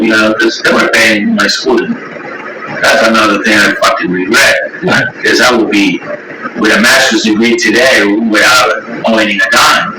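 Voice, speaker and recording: Middle-aged man, rambling testimony. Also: fairly low pitch. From Ecuador. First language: Spanish. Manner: rambling, repeats words, swears. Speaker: interviewee sharing recovery story. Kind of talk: candid personal testimony. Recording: echoey room from far off, heavy noise.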